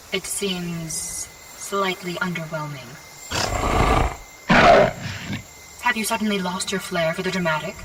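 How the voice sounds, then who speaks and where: Heavy noise surrounds a distant voice, a dutiful female agent in an audio drama. She speaks stiffly and formally, precise and careful with every word. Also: on the low side. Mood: brisk, dry. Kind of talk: scripted audio drama acting.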